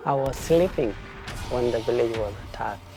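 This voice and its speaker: A man's voice: medium pitch, lively intonation, normal speed, creaky, warm tone, faint music behind. A young man from South Sudan with a South Sudanese accent, earnestly telling his life story in a documentary interview.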